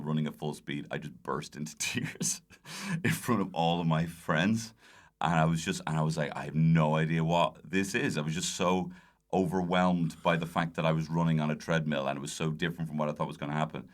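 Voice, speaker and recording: A man's voice: rumbling low, steady tone, brisk. An Irish man chatting casually as a podcast guest, telling rambling, self-deprecating stories. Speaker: guest comedian. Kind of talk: casual anecdotal chat.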